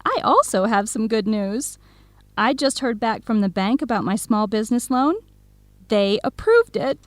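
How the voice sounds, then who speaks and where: A young woman playing a supportive, excitable best friend in an educational radio drama, eager, expressive and full of questions. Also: fairly high pitch, normal speed, no echo. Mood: happy. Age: young adult.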